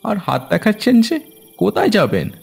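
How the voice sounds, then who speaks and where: A man playing a wary, questioning driver at night in a horror audio story, with a husky, creaky voice. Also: high-pitched, wildly swooping pitch, moderate pace, heavily acted.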